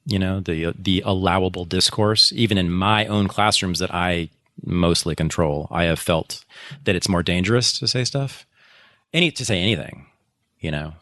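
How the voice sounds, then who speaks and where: A man's voice: low voice, normal speed, fairly bright tone, dry recording. A man talking at length in a live podcast discussion, circling back, correcting himself and laughing now and then. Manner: rambling, self-correcting, laughs often. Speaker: podcast guest, teacher.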